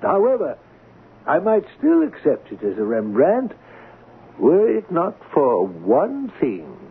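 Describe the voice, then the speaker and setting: A learned, courteous art appraiser in a radio play. His voice is very husky and rises and falls freely, with slow, formal, exacting phrasing. The muffled sound is that of an old radio broadcast. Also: on the high side, wildly swooping pitch, heavily acted. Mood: critical, exacting. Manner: formal, deliberate, measured. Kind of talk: radio drama acting.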